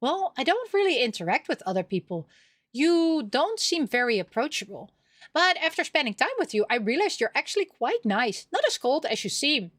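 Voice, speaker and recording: A woman's voice: sits high, very animated, moderate pace, a bit bright, no echo, exaggerated voice. A woman voicing an optimistic, careless young female roommate in an emotive way for a visual novel. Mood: thoughtful. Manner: emotive, scatterbrained.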